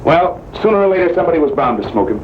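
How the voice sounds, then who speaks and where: A cocky, lively cowboy rustler. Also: male voice, fairly high pitch, racing, muffled recording. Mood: wry, teasing. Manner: folksy, sardonic, evasive. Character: cocky, joking outlaw cattle rustler, cowboy drawl. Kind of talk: old-time radio western acting. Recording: noisy old radio drama recording.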